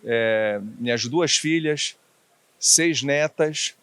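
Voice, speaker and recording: A man's voice: medium pitch, very slow, clean tone, no echo. Chatty Brazilian man in his sixties, dry sound. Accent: faded Bahian Brazilian Portuguese. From Bahia, Brazil. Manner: chatty, laughing, lively when telling anecdotes. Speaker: guest, former diplomat. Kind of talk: relaxed storytelling interview.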